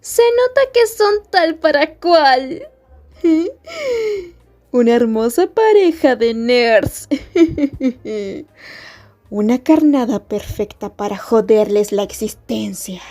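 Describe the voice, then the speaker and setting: Woman acting a high-voiced, slow-talking bully schoolgirl. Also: expressive, a little mellow, faint music behind. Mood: mocking. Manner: taunting, scornful, drawn-out delivery. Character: mocking high school bully girl, haughty and mean. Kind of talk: Spanish ASMR roleplay acting.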